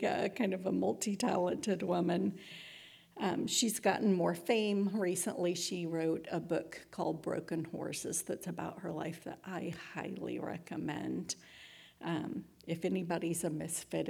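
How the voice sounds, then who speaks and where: This is a woman giving an inspirational community talk. Her voice sits a little low, with a husky grain and frequent creak. She is reflective and earnest, setting out each thought in a measured way. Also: darkish tone.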